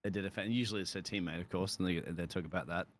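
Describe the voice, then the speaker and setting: A man's voice: sits low, steady tone, very fast. A man commentating a sim race and interviewing drivers in a chatty, matey way with frequent restarts.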